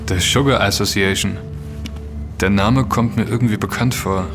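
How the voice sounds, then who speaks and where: An actor playing a young student hacker in an audio drama, casual and curious, often musing aloud. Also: male voice, lowish voice, fairly even intonation, normal speed, music in the background, close to an everyday voice. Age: young adult. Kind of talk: German audio drama dialogue.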